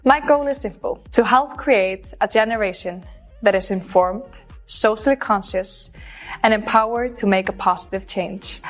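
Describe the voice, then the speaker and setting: A woman, giving a scripted self-introduction as a pageant contestant in an earnest, measured way. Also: medium pitch, faint music behind. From Iceland. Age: 20s. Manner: earnest, measured recited delivery.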